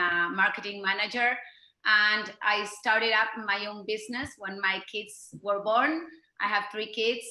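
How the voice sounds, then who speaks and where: A woman in her forties, a business owner presenting her business, halting with many fillers. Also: medium pitch, pushed and bright. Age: 40s. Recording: echoey room.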